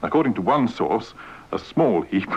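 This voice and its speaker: A man reads a radio news item slowly, in a measured, formal delivery. His voice is low, yet his pitch swings widely across each phrase. The recording sounds muffled and carries a faint hiss. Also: big pitch swings. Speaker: radio newsreader. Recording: dull, slightly noisy old radio recording.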